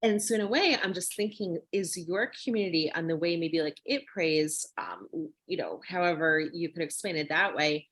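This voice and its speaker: A woman's voice: lowish voice, expressive, normal speed, a bit bright. A woman hosting a casual interview, working her way tentatively and in a roundabout way toward each question. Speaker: interviewer, host.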